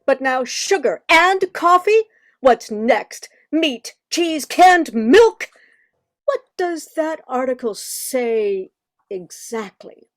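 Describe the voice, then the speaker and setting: Woman acting a high-pitched, bossy 1940s mother. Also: big pitch swings, slow pace, no room sound, studio quality, full character voice. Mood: indignant. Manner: fussy, exasperated, emphatic. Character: bossy, quick-witted 1940s mother obsessed with control. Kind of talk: amateur radio play acting.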